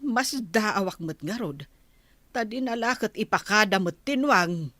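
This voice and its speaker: Slow-spoken, concerned villager. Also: female voice, mid-range voice, animated, a bit bright, no echo. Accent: Ilocano. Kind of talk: Philippine radio drama acting.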